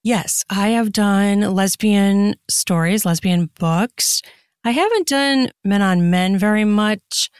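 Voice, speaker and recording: Woman, podcast guest, casual interview chat, explanatory and rambling, mid-pitched voice, dry recording. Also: slow, clean tone, dry recording. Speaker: podcast guest, erotic fiction author and podcaster.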